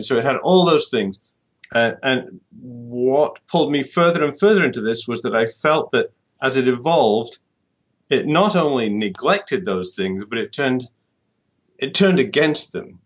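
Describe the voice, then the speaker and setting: A man's voice: mid-range voice, a bit bright. A middle-aged man from the UK, answering interview questions thoughtfully and often repeating words as he restarts. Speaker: author guest.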